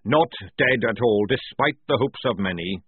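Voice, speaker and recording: A man plays a gruff old sergeant who barks every line in a rough, forceful roar. The voice is husky. It sounds dry and tinny, like a phone line. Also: medium pitch, animated, moderate pace, no echo. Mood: roaring, defiant. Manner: barking, forceful, rough speech. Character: gruff loud old male army sergeant.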